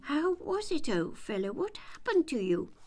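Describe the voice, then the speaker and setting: Woman doing bustling bossy animal workers, high-pitched. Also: big pitch swings, measured pace. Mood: urgent, bustling. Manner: shouted, colloquial orders.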